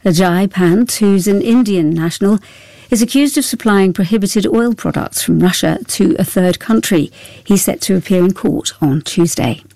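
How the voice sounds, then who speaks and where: A woman reading a radio news bulletin in a measured, formal way. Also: mid-range voice, warm tone, dry recording. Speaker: newsreader.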